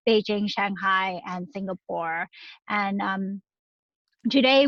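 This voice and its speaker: A woman's voice: mid-range voice, no room sound. Woman giving a firm overview, dull audio. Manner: steady, with many um fillers. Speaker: investment firm representative. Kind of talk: webinar introduction. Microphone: video call.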